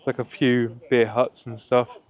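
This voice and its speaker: A young man with a deep voice, chatting casually in a rambling way as he vlogs a walk outdoors through a town. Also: measured pace. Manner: relaxed, rambling, many fillers. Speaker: travel vlogger. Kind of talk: casual walking vlog. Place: outdoors in a town square and park.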